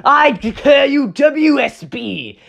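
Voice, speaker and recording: Woman doing a slow, grandiose villain rabbit. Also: sits high, animated, creaky, fairly bright tone, voice pushed up, heavily acted. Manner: slow, drawn-out commanding declarations. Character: grandiose male shadow-rabbit villain, scheming.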